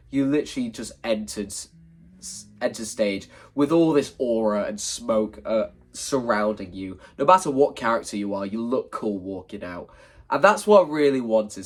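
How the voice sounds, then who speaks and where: A man with a slightly congested, hay-fever voice, talking informally and self-deprecatingly as he explains his creative plans in a casual vlog. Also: mid-range voice. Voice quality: slightly stuffy, congested nose. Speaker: video creator presenting a stage play concept.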